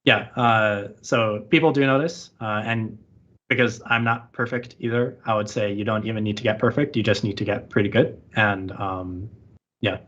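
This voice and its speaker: A man with a lowish voice talks in a loose, rambling way, peppering his sentences with filler words. His audio comes through a bit muffled and lo-fi. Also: fairly even intonation, moderate pace, fairly bright tone. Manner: rambling, heavy use of "like" fillers. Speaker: interview guest. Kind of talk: casual podcast conversation.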